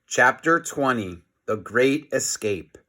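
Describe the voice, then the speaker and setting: Animated man reading a children's story. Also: mid-range voice, takes it slowly. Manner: expressive, dramatic, playful. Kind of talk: animated children's story read-aloud with asides.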